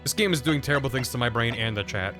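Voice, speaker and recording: A man's voice: medium pitch, quick pace, pushed and bright. Joking young man streaming a game. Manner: joking, rambling. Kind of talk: game let's-play commentary. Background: game music.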